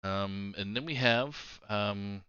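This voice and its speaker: A man with a low voice and a bright, forceful edge hosts a card game stream over voice chat. He rambles through the announcements with relaxed fillers. The sound is dry and a little dull, like a lo-fi call. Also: slow pace, smooth, dry recording. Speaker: esports stream host.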